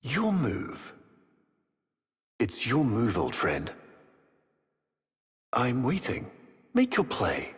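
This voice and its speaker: A man playing a cruel, haughty aristocratic Executor villain in a video game, with theatrical, measured, formal diction and silky menace. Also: mid-range voice, heavily acted. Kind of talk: dramatic video game character acting. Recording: long echoing hall reverb, some noise.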